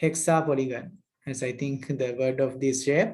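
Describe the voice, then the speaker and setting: A man's voice: mid-range voice, fairly even intonation, normal speed, darkish tone. Sri Lankan man, English teacher lecturing students, rhetorical questions, repeats himself, mid-range voice. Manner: asks rhetorical questions and adds "right?" checks; repeats key points, restarts sentences. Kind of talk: spoken lesson, lecturing students.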